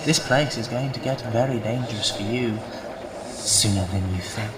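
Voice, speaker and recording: A man voicing a patronising, wry scientist in an audio drama, measured and condescending. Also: medium pitch, crowd noise, lightly acted. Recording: echoey with loud background noise.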